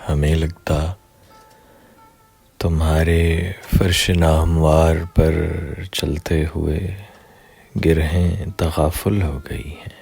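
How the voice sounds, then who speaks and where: A man reciting poetry in a measured, deliberate, dramatic way, pausing between short phrases. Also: extremely low pitch, level tone, gravelly, a little mellow, breathy edge, faint music behind.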